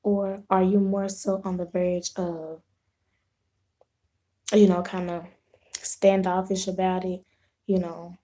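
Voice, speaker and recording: Casting: hoarse woman vlogger, slow easy delivery, mellow dark tone, casual live chat. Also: mid-range voice. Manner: unhurried, rambling, clears throat, frequent restarts. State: hoarse, losing her voice, sore throat. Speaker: vlogger hosting a live video.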